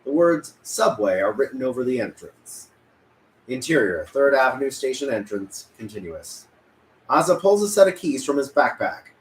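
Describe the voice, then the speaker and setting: Male table-read narrator; animated pitch, scripted delivery, echoey recording. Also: normal speed. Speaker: narrator reading stage directions. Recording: echoey room, faint noise.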